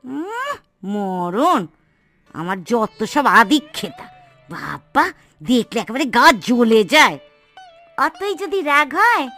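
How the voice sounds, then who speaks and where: A woman playing a bitter, sharp-tongued middle-aged aunt in a cartoon, scolding and sarcastic. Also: sits high, heavily acted. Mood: spiteful. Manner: scolding, sarcastic, drawn-out complaining. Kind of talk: cartoon voice acting.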